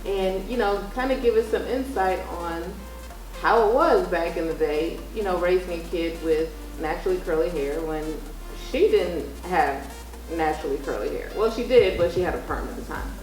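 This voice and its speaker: A woman's voice: on the low side, moderate pace, a little mellow, faint music behind. A young woman telling stories in a casual, chatty vlog style, acting out dialogue as she goes. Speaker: vlog host interviewing mother. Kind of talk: casual storytelling chat. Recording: roomy echo with background noise.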